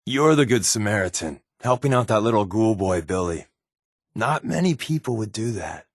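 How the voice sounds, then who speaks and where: An actor playing a wisecracking, sardonic spy companion in a video game, with casual scripted dialogue. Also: male voice, fairly low pitch, animated, normal speed, no echo, clean studio sound. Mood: impressed, probing. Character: wry, joking male spy companion with dry swagger.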